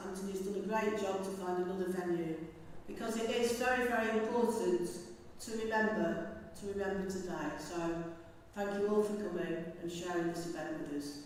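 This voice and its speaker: A woman's voice: fairly low pitch, normal speed, hardly any pauses, a little hiss or hum. A woman giving a formal public address at a memorial service, as a civic dignitary. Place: inside a large church. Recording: very echoey church hall, distant mic.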